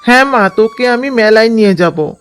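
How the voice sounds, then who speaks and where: A man voicing a gentle, earnest village husband in a cartoon, with faint music behind. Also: high voice, lively intonation, normal speed, clean tone. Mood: tender. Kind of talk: cartoon dub acting.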